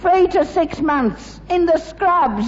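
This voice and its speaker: Sixties London rag-and-bone dad: whining, indignant, crafty; dull, noisy old sitcom sound. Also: male voice, very high-pitched, animated, slow, in a large hall, full character voice. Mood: suspicious, alarmed. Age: 60s. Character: grumbling, anxious, crafty 65-year-old rag-and-bone father. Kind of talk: British sitcom acting. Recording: muffled, noisy old broadcast recording.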